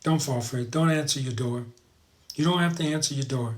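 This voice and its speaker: A man's voice: medium pitch, a little fast. A man hosting a casual livestream, chatting informally to viewers and rambling as he repeats his advice for emphasis. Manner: informal, addresses viewers as guys. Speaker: live show host. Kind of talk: casual livestream chat, reading viewer comments.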